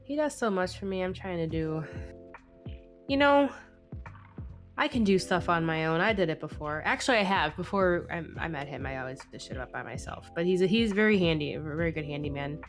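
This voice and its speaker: A woman's voice: fairly low pitch, expressive, fairly quick, soft background music. Chatty sniffly woman streamer. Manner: chatty, laughing, swears freely. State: sniffly from a head cold. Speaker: live stream host. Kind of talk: casual chat while drawing.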